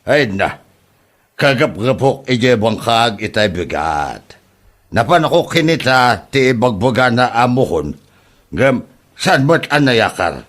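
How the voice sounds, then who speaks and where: A man's voice: mid-range voice, very animated, takes it slowly, fairly bright tone, heavily acted. A man acting an aggrieved older village father with an Ilocano accent in a comedy drama, protesting hesitantly. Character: aggrieved older village father accused over a land boundary.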